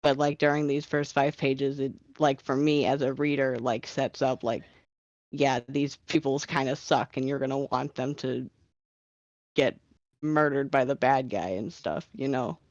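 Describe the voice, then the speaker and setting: A man with a mid-pitched voice gives informal feedback, leaning on filler words. The audio is dry and a bit dull, like an online call. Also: steady tone, a little slow, no room sound. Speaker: reader giving script feedback. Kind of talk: casual spoken critique.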